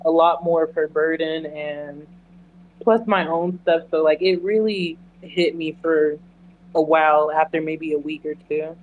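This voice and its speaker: Male interview guest, high-pitched, candid and emphatic, conversational delivery over audible background hum. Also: flat delivery, a little slow, phone-quality sound. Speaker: interview guest, emergency dispatcher. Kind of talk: earnest conversational interview answers.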